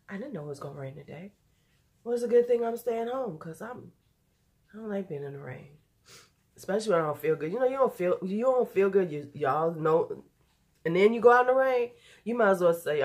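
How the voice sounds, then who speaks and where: A woman in her forties with a stuffy, nasal voice, losing her voice, chatting loosely and drifting off topic in an unedited beauty vlog from home. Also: fairly low pitch. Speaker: beauty YouTuber.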